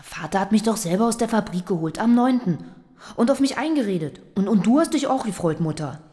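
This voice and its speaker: An actress in a German radio play voicing a fervent socialist factory worker and daughter, eager, emphatic and colloquial. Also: female voice, mid-range voice, lively intonation, fairly quick, dark tone, big-room sound, natural voice. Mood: defensive.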